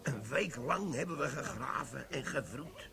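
An actor playing an older army sergeant who defuses bombs, in a radio play, telling war stories and giving brisk orders. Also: male voice, medium pitch, lively intonation, vocal fry, bright, forceful tone, exaggerated voice. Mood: storytelling. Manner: storytelling, then brisk and commanding. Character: gruff older male army bomb sergeant.

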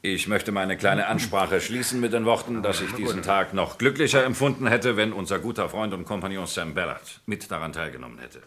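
An actor playing a pompous senior partner of a law firm, speaking in a formal, ceremonious way in an old radio crime play. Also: male voice, low-pitched, brisk, hardly any pauses, a bit bright. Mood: genial. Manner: formal, ceremonious speech-making. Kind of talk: 1950s-80s German radio crime play acting.

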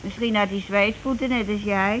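A woman's voice: mid-range voice, steady tone, moderate pace, fairly bright tone, natural voice. A working-class wife played by a woman in a radio play, on a faintly noisy old recording. She is plain-spoken and matter-of-fact, a little defensive yet curious about everything.

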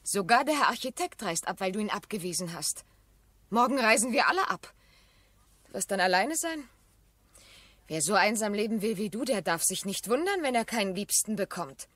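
An actress playing a vain, lively, sharp-tongued young aristocratic woman with a teasing, haughty manner in a 1970s radio drama. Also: mid-range voice, normal speed, dry recording. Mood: cutting.

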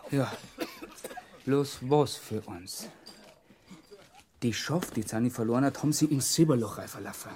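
An actor playing a gruff, commanding rural police inspector in a radio crime play, with a Bavarian accent and a brusque, questioning manner. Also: male voice, medium pitch, a little slow, long pauses, mellow, close to an everyday voice. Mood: probing. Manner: brusque, questioning, barks orders. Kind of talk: 1990s German radio play acting.

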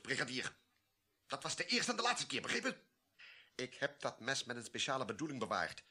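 An actor playing an imperious, ruthless army colonel in a radio play, speaking in a curt, formal, commanding way. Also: male voice, on the high side, pushed and bright, full character voice. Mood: furious, commanding. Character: imperious, ruthless male army colonel guarding a deadly secret. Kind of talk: Dutch radio play acting.

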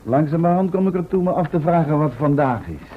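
A muffled old radio play recording of a baffled learned doctor. His husky voice is lively, precise and dryly protesting. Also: on the high side, a little fast. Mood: puzzled. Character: baffled, protesting male doctor, the professor's sceptical colleague.

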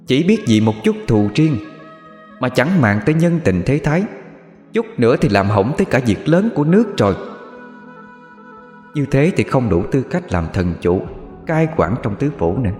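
A man playing a wrathful mother goddess who passes judgment in a commanding, scolding tone. Her pitch rises and falls with force. A long reverb and faint music sit behind. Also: darkish tone, lightly acted. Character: stern, wrathful mother goddess ruling spirits.

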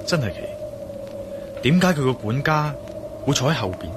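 An actor playing an inquisitive, bold male adventurer in a radio drama, with a Hong Kong Cantonese accent and a deliberate, pondering manner. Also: medium pitch, lively intonation, background noise, natural voice. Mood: pondering, determined. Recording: radio drama with clear music behind.

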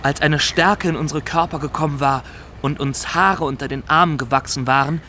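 A man playing a naive, earnest young Somali fisherman in a radio drama, telling his story with deliberate, emphatic phrasing. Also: on the high side, steady tone, sharp tone, slight background noise. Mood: earnest storytelling.

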